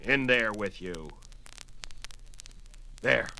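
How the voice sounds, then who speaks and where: An actor playing a gruff, bullying ship's deckmaster with a gravelly voice in a 1970s audio drama. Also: male voice, on the low side, animated, extremely slow, noisy background, heavily acted. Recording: vintage record drama with music behind.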